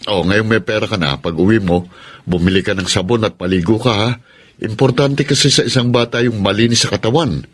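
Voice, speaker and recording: A man playing a gentle, generous father in a radio drama, coaxing and kind. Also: fairly low pitch, fairly quick, husky. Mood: kindly. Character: kindly wealthy father, warm and generous.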